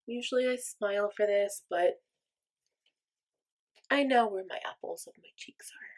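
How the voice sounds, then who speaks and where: A woman's voice: medium pitch, a little slow. A young woman chatting casually while doing her makeup, rambling and self-deprecating, full of "like". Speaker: makeup vlogger.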